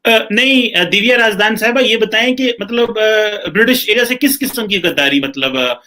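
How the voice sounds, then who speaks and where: A man's voice: high voice, normal speed, bright, forceful tone. A man on a debate panel, hesitantly asking for clarification with fillers.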